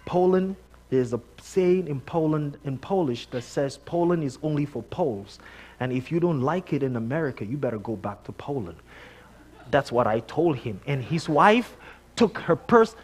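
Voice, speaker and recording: A man's voice: on the high side, moderate pace, breathy edge. A middle-aged man with a Nigerian accent giving a conversational sermon as a guest preacher, telling personal stories with emphatic repetition. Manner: animated storytelling, emphatic repetition. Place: church sanctuary, on stage before a congregation.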